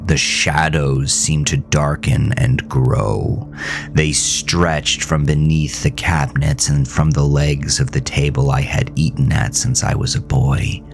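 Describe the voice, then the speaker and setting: Man acting a very deep-voiced, haunted, grieving farmer. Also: steady tone, heavily acted. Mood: grim, haunted. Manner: brooding, deliberate, theatrical, dropping at times into breathy near-whisper. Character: grieving, haunted farmer telling his supernatural ordeal. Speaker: narrator and lead character of a horror audio drama. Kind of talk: dramatic first-person horror narration.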